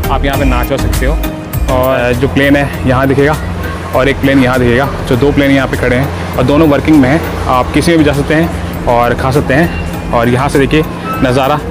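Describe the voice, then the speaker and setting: A man in his thirties filming a casual walking-tour vlog on a handheld phone, chatting to his viewers and explaining prices as he moves between a parking lot and a restaurant. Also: mid-range voice. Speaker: travel vlogger. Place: outdoor parking lot and airplane restaurant interior. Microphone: handheld phone camera, selfie framing.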